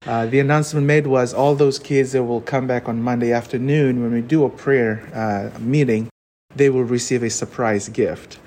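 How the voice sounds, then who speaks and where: Young Fijian-accented man, earnest storyteller, halting delivery, mid-range voice, faint noise. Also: medium pitch, steady tone, a little mellow. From Fiji. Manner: earnest, frequent "uh" pauses. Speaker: podcast guest. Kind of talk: personal storytelling.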